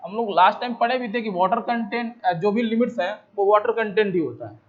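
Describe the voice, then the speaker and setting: A man's voice: sits high, expressive, brisk. An Indian man lecturing as a teacher, explaining step by step, repeating points and asking questions that he answers himself. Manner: repetitive, asks and answers own questions. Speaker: civil engineering lecturer. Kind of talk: explanatory lecture mixing Hindi with English technical terms. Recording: dull, lo-fi microphone sound.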